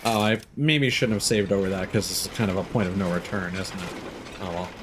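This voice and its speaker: A man's voice: low voice, steady tone, brisk. A man giving casual, wry gameplay commentary, playfully talking to himself as he streams. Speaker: video game streamer. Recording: game noise behind the voice. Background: video game ambience and mechanical sounds.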